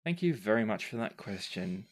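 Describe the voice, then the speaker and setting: A man hosting a podcast as game master, reading and answering listener questions in measured, deliberate phrasing. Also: on the low side, sing-song.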